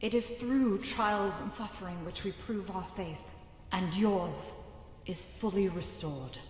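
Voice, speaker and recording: Woman voicing a solemn, slow-speaking ghostly sister. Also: medium pitch, slight background noise, natural voice. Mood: tender, forgiving. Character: long-dead sister's spirit, solemn, knowing, finally forgiving. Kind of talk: dramatic video game mod voice acting.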